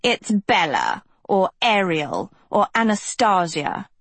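Woman voicing a sour, sneering teenage witch girl, low. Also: steady tone, measured pace, no room sound. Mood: mocking. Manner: snorting, cutting, contemptuous.

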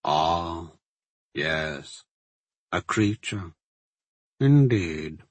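A man voicing a smug, powerful magister in an audiobook, mockingly courteous, with a measured, sneering manner. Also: low-pitched, lively intonation, slightly rough, dry recording, pitched below the performer's own voice, exaggerated voice.

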